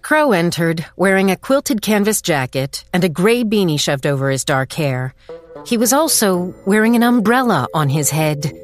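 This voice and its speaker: A woman's voice: on the low side, very animated, moderate pace, soft background music. A woman playing a determined, wry small-town police chief who narrates a dramatized audiobook in a firm, dry way. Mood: dryly baffled. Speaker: narrator and lead character.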